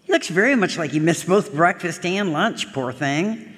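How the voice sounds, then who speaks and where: A woman's voice: on the low side, animated, normal speed, natural voice. A woman playing a chatty, plain-spoken household servant, deferential but gossipy, in a live radio drama. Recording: reverberant concert hall stage.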